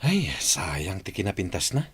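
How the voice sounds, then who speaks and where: A man playing a curious, regretful gossiping companion in a radio comedy drama, with a husky voice. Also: low voice, sing-song, measured pace, a bit bright, heavily acted.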